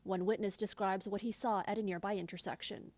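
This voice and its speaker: A woman's voice: medium pitch, fairly even intonation, fairly quick, darkish tone. A woman with a Canadian accent delivering a broadcast news report as a reporter.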